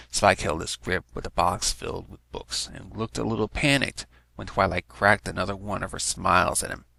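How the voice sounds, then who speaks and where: Low, crackly male story narrator. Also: gravelly, pushed and bright. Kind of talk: scripted narration of fan fiction.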